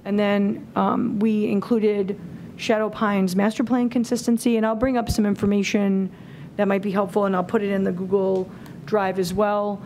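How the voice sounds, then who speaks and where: A woman's voice: medium pitch, little pitch movement, moderate pace, a little mellow, a little hiss or hum. A woman in her forties presents a scoring rubric to a committee in a town hall auditorium, talking it through with many fillers and restarts. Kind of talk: public meeting presentation. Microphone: table microphone.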